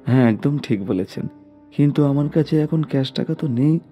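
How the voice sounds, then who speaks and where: A man's voice: medium pitch, a little fast, dull audio, soft background music, voice pushed up, natural voice. A man voicing a worried, eager father of an only son in a cartoon story. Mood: pleading, polite. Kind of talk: scripted cartoon story acting.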